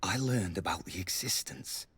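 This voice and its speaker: A husky, guarded army lieutenant. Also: male voice, low-pitched, a bit bright, exaggerated voice. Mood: grave, confiding. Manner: grave, secretive, measured. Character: guarded, wary male military lieutenant instructor. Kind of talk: video game character acting.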